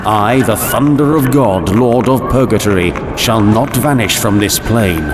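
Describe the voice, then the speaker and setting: An actor playing a proud fallen angel of purgatory in a video game, solemn and grandiose, with formal archaic phrasing. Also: male voice, on the low side, a little slow, background noise, natural voice. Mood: imperious. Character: proud fallen male angel of purgatory, pleading yet imperious. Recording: loud music behind.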